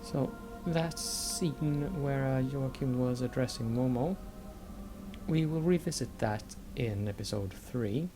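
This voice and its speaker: A man's voice: mid-range voice, slow pace. A man giving casual, hesitant commentary over a video game. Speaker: let's play commentator. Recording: loud game music behind.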